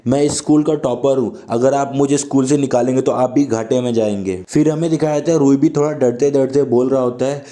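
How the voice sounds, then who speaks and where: A man's voice: medium pitch, fairly even intonation, fairly quick, few pauses, mellow. A young man with a sore throat, casually recapping an anime and reading the characters' lines in his plain voice. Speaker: anime explainer narrator.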